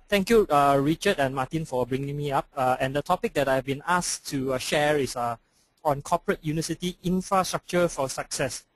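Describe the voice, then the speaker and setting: A man's voice: on the high side, no echo, low-quality recording. A man from Malaysia with a Malaysian English accent, giving a conference presentation with frequent hesitations. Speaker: conference speaker. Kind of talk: webinar presentation.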